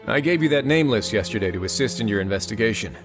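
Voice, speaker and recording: Low-voiced shrewd man in charge. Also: lively intonation, quick pace. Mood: composed, dry. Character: composed, shrewd male authority figure. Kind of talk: anime game voice acting. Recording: clear music behind.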